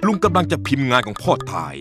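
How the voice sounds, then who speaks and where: Guy doing stressed out middle aged cartoon editor, blustery, pitch all over the place, music under it. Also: sing-song, quick pace, natural voice. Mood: patronizing. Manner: blustery, exclaiming and groaning. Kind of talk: cartoon voice acting. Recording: music behind the voice.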